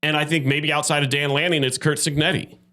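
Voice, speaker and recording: A man's voice: a little high, flat delivery, fast, pushed and bright. A man hosting a sports podcast, debating casually and making his points emphatically with plenty of statistics. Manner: opinionated, emphatic, cites statistics.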